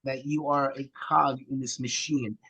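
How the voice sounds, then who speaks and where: A man's voice: medium pitch, steady tone, moderate pace, bright, forceful tone, breathy edge, poor audio. A man speaking casually as an interview guest, in a conversational, joking way. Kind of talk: casual chat.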